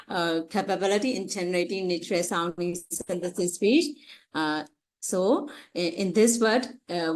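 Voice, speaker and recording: A woman with a Burmese accent giving an academic presentation in a halting, hesitant way with frequent uhs. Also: medium pitch, darkish tone. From Myanmar. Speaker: conference presenter, researcher.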